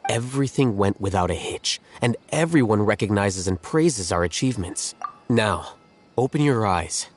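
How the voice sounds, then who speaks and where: A composed scholar-strategist, voiced by a man, laying out his reasoning in a low, measured, deliberate voice. He sounds patient and precise, like someone used to explaining things. Soft game music sits faintly behind him. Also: expressive, close to an everyday voice. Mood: calm. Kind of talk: video game dialogue.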